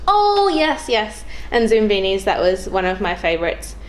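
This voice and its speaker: A young woman talks casually as a guest on a podcast. Her delivery is informal and a little hesitant, as if thinking aloud. The pitch is average for a woman, and there is background noise in the recording. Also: very animated, normal speed. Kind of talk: casual chat.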